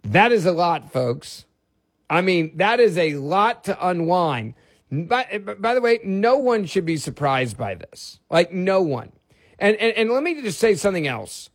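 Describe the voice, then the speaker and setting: A man's voice: high voice, very animated, measured pace, dry recording. A man hosting a talk radio show, delivering an emphatic, opinionated monologue on a studio microphone. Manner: emphatic, repeats himself, stacks asides. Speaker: radio host, fill-in.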